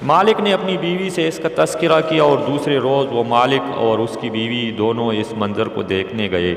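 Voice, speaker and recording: Echoey male storyteller with a creaky voice. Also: medium pitch, normal speed, slight background noise. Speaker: narrator of Islamic moral stories. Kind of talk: scripted religious storytelling narration. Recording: echoey with long reverb.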